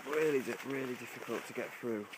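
A man's voice: mid-range voice, fast talker, heavy background noise. Chatty man vlogging outdoors. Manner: chatty, swearing, laughs easily. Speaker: vlogger, wild camper. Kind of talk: casual outdoor vlog. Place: outdoors on a coastal hillside. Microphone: handheld phone.

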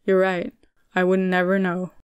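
A woman's voice: on the low side, completely flat delivery, moderate pace, smooth, no room sound, lightly acted. A woman voicing a stoic, heartbroken army captain, speaking in short, weary lines in a storybook reading.

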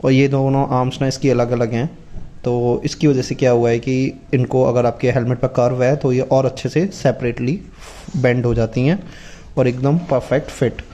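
Man, casual unboxing vlog, flat even delivery, mellow tone. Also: mid-range voice, normal speed. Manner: explains step by step while handling gear. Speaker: motovlogger reviewing gear. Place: indoors at a table, off camera.

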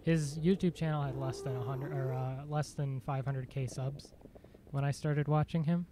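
A man streaming a game, chatting casually in a dry, monotone voice, rambling with fillers and self-corrections. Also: mid-range voice, normal speed, noisy background. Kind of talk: casual live-stream chat.

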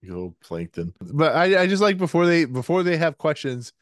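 A man's voice: a little high, big pitch swings, moderate pace, no room sound, clean studio sound. A man chatting on a podcast, joking and hesitant with lots of fillers, swearing casually.

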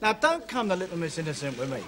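An actor playing a brusque middle-aged factory boss, blunt and sarcastic. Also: male voice, fairly high pitch, very animated, fast, fairly bright tone. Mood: stern. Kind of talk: 1980s TV soap acting. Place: factory floor TV set.

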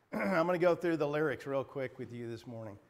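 A middle-aged man preaching a sermon in a small church, slightly hoarse, earnest and halting, clearing his throat often. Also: on the high side, animated. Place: small wood-paneled church, indoors. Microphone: pulpit microphone at a distance.

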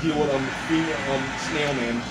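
A man's voice: on the high side, steady tone, moderate pace, recorded from a distance. An amused man chatting casually while playing a video game with friends, recorded on a phone. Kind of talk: casual chat during a game. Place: busy public gaming venue. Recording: noisy echoey phone recording.

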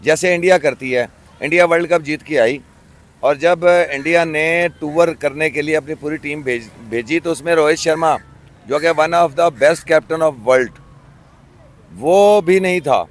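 A middle-aged club cricketer from Pakistan giving his views outdoors in a casual interview, earnest and often pausing on fillers. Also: fairly high pitch. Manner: earnest, many hesitation fillers. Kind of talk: opinionated casual talk. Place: outdoors by a park road. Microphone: handheld mic.

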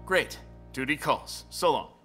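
A man playing an officious, quietly threatening policeman in a dubbed stage drama. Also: a little high, lively intonation, measured pace, faint music behind. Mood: brisk.

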